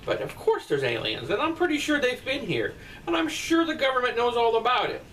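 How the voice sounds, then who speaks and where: A man's voice: extremely high pitch, sing-song, brisk, a bit bright. A middle-aged man chatting casually and bluntly, answering viewer comments from his bedroom. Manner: rambling, blunt, profane asides. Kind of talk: casual comment-response chat. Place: bedroom at night, indoors. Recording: distant mic with faint room noise.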